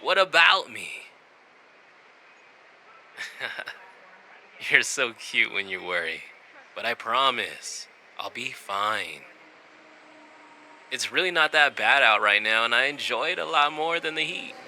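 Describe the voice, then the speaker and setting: Guy doing a teasing cyberpunk biker bf for a romance roleplay, really slow sweet talker, protective, super bright edgy tone, bit of city noise behind. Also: mid-range voice, very animated, full character voice. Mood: playful, caring. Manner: teasing, unhurried sweet-talk. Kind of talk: intimate romance audio roleplay.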